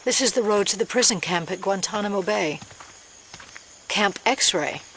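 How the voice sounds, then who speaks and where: A woman's voice: medium pitch, lively intonation, moderate pace, fairly bright tone, slight background noise, rough recording. An actress playing a sceptical female investigator in an audio drama, questioning and incredulous. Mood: wary. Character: sceptical, alarmed female investigator held along.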